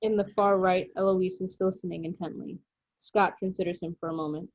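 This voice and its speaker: A woman's voice: lowish voice, fairly even intonation, brisk, darkish tone, a little hiss or hum. A woman reading stage directions as scripted narration for a drama table read, over slightly dull call audio.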